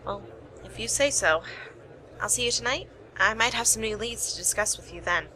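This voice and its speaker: A woman's voice: a little high, normal speed, sharp tone, slight background noise, poor audio. A woman playing a wry, determined young private investigator in an amateur radio play. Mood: curious, guarded.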